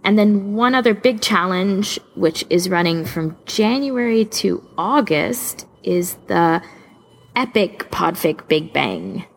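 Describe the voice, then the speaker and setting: A woman's voice: medium pitch, animated, slow pace, creaky. A woman chatting casually as co-host of a podcast.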